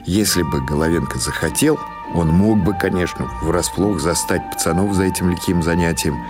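Man, very low voice, audiobook narrator, literary storytelling, faint music underneath. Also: normal speed. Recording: music behind the voice.

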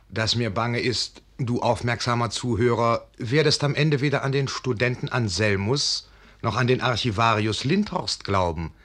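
A man narrating a literary fairy tale for radio in a measured storytelling delivery. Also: fairly low pitch, fairly bright tone.

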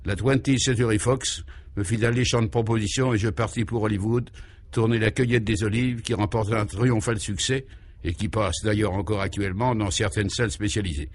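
A man's voice: fairly low pitch, steady tone, fairly quick, slightly rough. A man voicing a grand, nostalgic woman courtesan for a radio serial, theatrical, genteel and self-important. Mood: proud, nostalgic. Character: grand, nostalgic middle-aged courtesan, proud madam.

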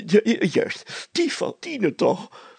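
A man playing a pompous, slow-talking village mayor. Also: high voice, wildly swooping pitch, creaky, darkish tone, slightly rough, no room sound, pitched above the performer's own voice, heavily acted. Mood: amused. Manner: stammering, deliberate, drawn-out phrasing. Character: pompous, fatherly, flustered male village mayor.

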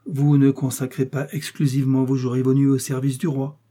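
Guy doing a scheming old cardinal, lofty and smooth, higher and louder than his normal voice. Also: flat delivery, brisk, natural voice. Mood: pressing. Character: powerful scheming older cardinal, man. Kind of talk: audiobook character reading.

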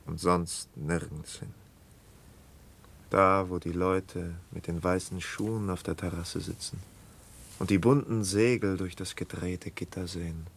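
An actor playing a brooding, haunted killer who confesses in halting fragments in a literary radio drama, sometimes close to whispering. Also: male voice, low-pitched, fairly even intonation, vocal fry, husky, heavily acted. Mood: bitter, haunted. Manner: halting, intense, dropping into near-whispers.

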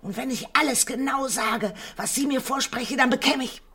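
A woman playing a nervous, deferential bar assistant in a radio drama, speaking hesitantly and apologetically with a husky, breathy voice. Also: high-pitched, moderate pace, pushed and bright, heavily acted. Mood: confessing.

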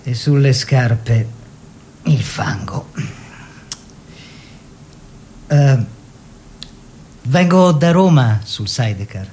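An actor playing an eager young bourgeois painter in a theatrical monologue, expressive and savouring short phrases. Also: male voice, medium pitch, takes it slowly, a little hiss or hum, low-quality recording. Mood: proud, confiding.

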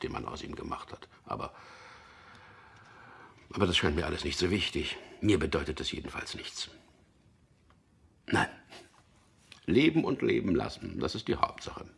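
Husky, very deep, weary man. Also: a bit bright, full character voice. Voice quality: husky, gravelly, often sinking to a whisper. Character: weary, evasive man, interrogated prisoner recalling his past. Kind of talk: radio drama acting with inner monologue.

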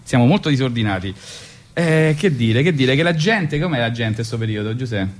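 A man with a Roman accent tells comic stories on a radio show. He rambles ironically from one aside to the next. His voice sits at an ordinary middle pitch. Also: animated, moderate pace. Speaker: radio host. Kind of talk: comic storytelling monologue. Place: radio studio.

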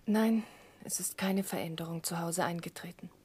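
An actress playing a gentle, calm, selfless young woman with a soft, breathy voice and composed manner in a 1960s German radio play. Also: on the low side, moderate pace. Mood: quiet reassurance. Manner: composed, quiet, sincere.

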